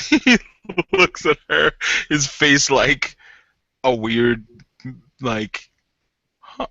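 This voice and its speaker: A man improvises narration for a tabletop game in a casual, giggly manner. His voice is very bright and swings widely in pitch, on a slightly muffled recording. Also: drawn-out words, dry recording. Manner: giggly, animated. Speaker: roleplayer.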